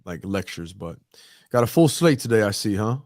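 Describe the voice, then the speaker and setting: A man's voice: fairly low pitch, very animated, moderate pace, a little mellow, no room sound, studio quality. A man co-hosting a talk show, chatting in a laid-back, joking way. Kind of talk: casual chat.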